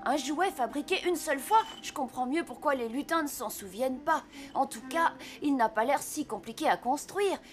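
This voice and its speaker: A woman's voice: high voice, animated, moderate pace, few pauses. A woman voicing a kind-hearted, eager young boy, an apprentice Santa in a cartoon, earnest and pleading in manner. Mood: curious. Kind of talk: animated cartoon dialogue. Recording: light music behind the voice.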